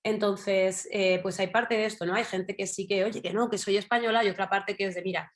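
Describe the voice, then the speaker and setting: A woman's voice: medium pitch, steady tone, brisk. A woman from Spain with a Madrid accent, speaking as a journalist guest in an online panel, thoughtful and digressive, full of fillers and tag questions. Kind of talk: casual talk in an online panel.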